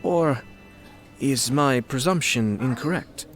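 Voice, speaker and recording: A man playing a refined, courteous young clan lord in a game, speaking in formal, measured, eloquent phrases. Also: medium pitch, loud music behind the voice, lightly acted. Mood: composed, polite. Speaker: voice actor in an English game dub.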